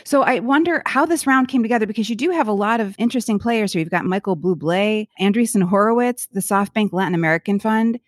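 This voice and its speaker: Lady host doing an interview, curious and into it, average pitch, really dry sound no echo. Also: quick pace, hardly any pauses. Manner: curious, engaged. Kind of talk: conversational interview questions.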